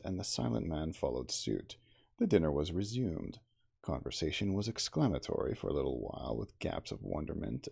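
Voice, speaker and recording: Male ASMR reader, very low and raspy, expressive storytelling delivery. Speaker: audiobook-style reader. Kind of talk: ASMR reading of a classic novel.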